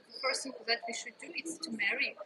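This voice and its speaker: A woman's voice: sits high, brisk, very noisy. A young woman with a Ukrainian accent chatting outdoors as a guest, thoughtful and hesitant with many fillers. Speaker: guest friend. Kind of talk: casual conversation. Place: outdoors in a city square.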